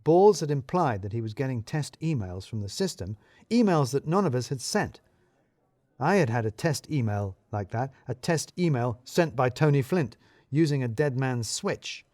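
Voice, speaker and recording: A man's voice: medium pitch, moderate pace, dry recording. Wry male storyteller, very dry recording. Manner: wry, deadpan. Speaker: narrator. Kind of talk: first-person scripted story narration.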